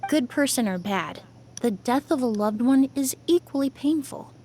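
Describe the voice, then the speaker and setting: A woman voicing a calm, composed young female investigator in a video game, soft-spoken and measured. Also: high voice, slight background noise.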